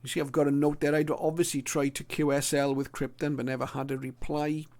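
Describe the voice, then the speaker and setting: A middle-aged man with a voice a little higher than most. He talks casually to his viewers as a hobbyist looking back, pausing and feeling his way through in a thoughtful manner. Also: flat delivery, darkish tone. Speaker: radio hobbyist presenter. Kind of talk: casual reminiscing explainer to viewers.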